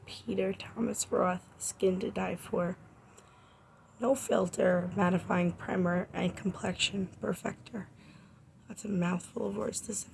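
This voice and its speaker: A woman in her twenties, a makeup artist hoarse from a cold, chatting casually and rambling to her viewers through a makeup tutorial. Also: mid-range voice, a little mellow, a little hiss or hum, low-quality recording. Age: 20s. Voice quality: raspy. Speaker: makeup artist and beauty vlogger. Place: home, under a makeup light.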